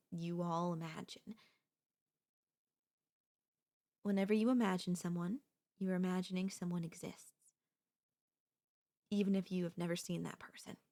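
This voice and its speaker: A woman's voice: on the low side, natural voice. A woman playing an obsessive, doting yandere stalker in an ASMR audio roleplay, speaking in a coaxing, theatrical way. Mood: patient, explaining. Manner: theatrical, coaxing, addresses listener as darling. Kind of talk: ASMR audio roleplay, girlfriend-style monologue.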